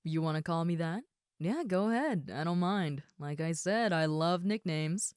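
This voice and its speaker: A woman playing a big, friendly ogre roommate in an audio roleplay, chatting casually and gently to the listener. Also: fairly low pitch, animated, normal speed, clear, resonant tone, no echo, clean studio sound, lightly acted. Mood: friendly, teasing. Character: huge muscular red female ogre roommate, friendly and easygoing.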